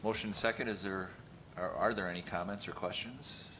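Guy chairing the council meeting, quick procedural, low-ish voice, bit of hum. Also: fairly even intonation, bright, forceful tone. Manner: brisk procedural. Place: council chamber.

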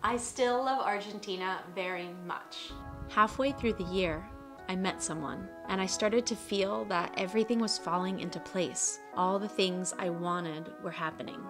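An American woman in her thirties tells her story in a calm, earnest, reflective voice, each line measured and carefully scripted. Her pitch sits at an ordinary middle level for a woman. A clear music bed plays underneath her narration. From United States (Colorado). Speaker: travel vlogger. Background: soft music bed.

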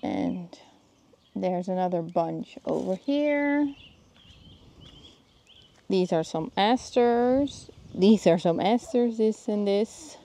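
A Dutch woman filming herself outdoors in her garden with a handheld phone. She talks casually and often pauses to search for plant names. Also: mid-range voice, expressive, mellow. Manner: searching for words, thinking aloud. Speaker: gardening vlogger.

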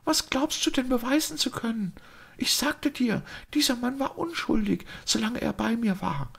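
Brave young lady, put on by a male reader: high, trembling, clear, low in volume. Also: normal speed, a bit bright, heavily acted. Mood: scornful. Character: young noblewoman, brave, defiant daughter. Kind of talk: audiobook reading.

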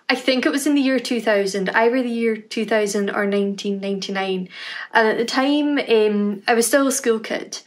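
A woman in her thirties with a Scottish Highland accent, talking casually to camera at home about her music, with frequent ums. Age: 30s. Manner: warm, chatty, with frequent ums and "kind of". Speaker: harpist introducing her piece. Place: home room indoors, dark wooden furniture behind.

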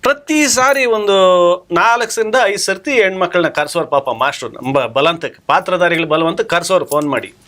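A man's voice: sits high, lively intonation, brisk, pushed and bright. A man, casually telling anecdotes as a guest stage actor and acting out what others said. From rural Karnataka, India.

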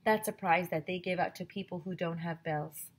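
Lady teacher doing a storybook read-aloud from home, low husky voice, big expressive delivery. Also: normal speed. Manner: expressive storytelling, addresses kids directly. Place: small home room with classroom decorations. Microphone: headset.

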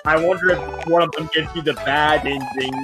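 High-voiced man chatting over game music. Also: fairly even intonation, normal speed. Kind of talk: casual game stream chat.

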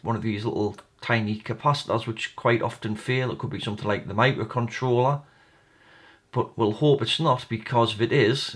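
A man giving a casual hands-on repair walkthrough, informally explaining each step and thinking aloud. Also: mid-range voice. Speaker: hobbyist electronics repair presenter.

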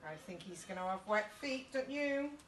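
A woman's voice: medium pitch, animated, normal speed, a bit bright. A middle-aged British woman reading a picture book aloud to young children, often putting questions to them. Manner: child-directed, asking listeners questions. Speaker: story time host reading to children. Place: small indoor youth group playroom. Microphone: camera a couple of metres away. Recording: echoey room, distant mic, faint background noise.

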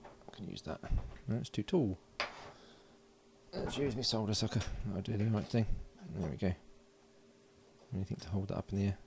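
A man casually talking through hands-on electronics work, unhurried and thinking aloud. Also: fairly low pitch, noisy background. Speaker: host of an electronics build video. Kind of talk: casual hands-on tutorial narration. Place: indoors at a workbench.

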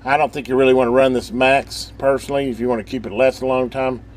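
A man's voice: mid-range voice, fairly even intonation, fast talker. A man giving a casual, rambling hands-on radio demonstration, thinking aloud in a folksy, informal way. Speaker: hobbyist presenter demonstrating a CB radio.

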